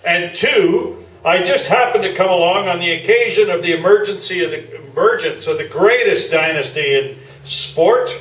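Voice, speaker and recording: A man's voice: high voice, lively intonation, moderate pace, few pauses, fairly bright tone. A man playing a pompous, boastful veteran newspaper sports columnist in a comic radio play. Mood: grandiose. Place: small theatre, live performance. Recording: roomy, distant stage recording with noise.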